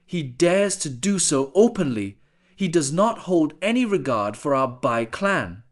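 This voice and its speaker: Man doing an outraged male warrior, threatening, indignant, drawn-out slow speech, fantasy audiobook. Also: fairly high pitch, very animated, voice pushed up.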